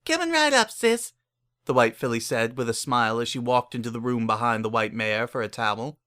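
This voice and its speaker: A man's voice: mid-range voice, level tone, a little fast, sharp tone. A man narrating a scripted story, reading the prose as written.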